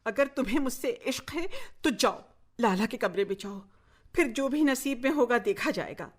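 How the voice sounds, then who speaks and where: An actress playing a fiery, proud, sharp-tongued woman in a radio drama, bossy in her manner. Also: a little high, animated, moderate pace. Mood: impatient, defiant. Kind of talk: Hindi-Urdu radio drama acting.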